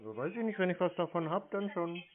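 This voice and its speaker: A man's voice: sits high, lively intonation, fast talker. A man talking casually on the phone in an obtuse, needling way as a prank caller. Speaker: scam-baiting prank caller. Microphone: telephone. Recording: phone-like sound with office chatter.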